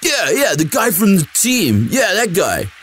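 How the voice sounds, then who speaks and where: A man's voice: high-pitched, wildly swooping pitch, measured pace, fairly bright tone, faint music behind, full character voice. A young man playing a sweet, dim, eager athlete boyfriend in a casual, intimate audio roleplay, with a slangy, drawling delivery. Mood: cheerful, chatty.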